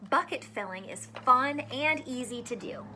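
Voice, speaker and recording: A woman's voice: sits high, lively intonation, unhurried, bright, forceful tone, a little hiss or hum. A woman teacher reading a picture book aloud to children, adding encouraging asides and questions.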